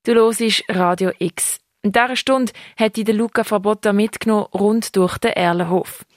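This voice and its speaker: A woman hosts a radio show from the studio, announcing in a smooth scripted manner. Her mid-pitched voice is bone dry, with no room around it. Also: normal speed, dry recording. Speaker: radio host.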